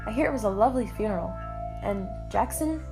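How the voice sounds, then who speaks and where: A woman's voice: medium pitch, expressive, fast talker, vocal fry, over music. A woman playing a firm, probing amateur sleuth at a lodge in a puppet show. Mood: reflective. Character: firm, reflective female lodge worker turned sleuth.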